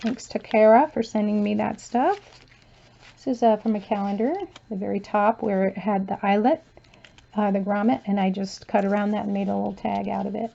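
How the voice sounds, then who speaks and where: A woman casually talking through a handmade journal she shows page by page, softly and with frequent fillers, her voice going hoarse as she loses it. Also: mid-range voice, fairly quick, muffled recording. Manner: soft-spoken, relaxed, with frequent um and uh fillers. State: losing her voice, clearing her throat. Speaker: crafter showing her journal. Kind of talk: casual flip-through commentary.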